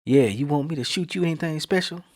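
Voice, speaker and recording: A man voicing a cocky, eager fifteen-year-old boy who talks in a hushed, eager way. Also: on the high side, quick pace, pitched above the performer's own voice, close to an everyday voice.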